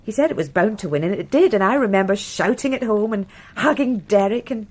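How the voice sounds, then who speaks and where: An actress plays a British married woman in a radio crime drama, frank and wistful about her past. Her voice is breathy and soft-edged, with a lively rise and fall. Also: medium pitch, fairly quick, natural voice. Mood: wistful, reminiscing.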